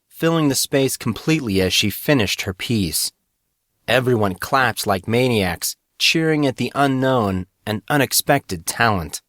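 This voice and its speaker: A man's voice: on the low side, a bit bright, dry recording, studio quality. A man reading a scripted story as an audiobook narrator. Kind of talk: scripted fiction narration with acted dialogue.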